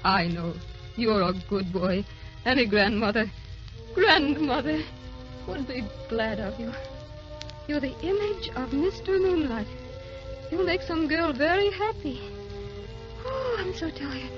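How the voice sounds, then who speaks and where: A woman's voice: high voice, lively intonation, slow, pauses often. An actress playing a tender, weary, ageless grandmother who speaks wistfully and repeats her phrases, in old radio drama style. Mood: dreamy, fading. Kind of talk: 1930s-40s radio drama acting. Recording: dull old radio recording with music.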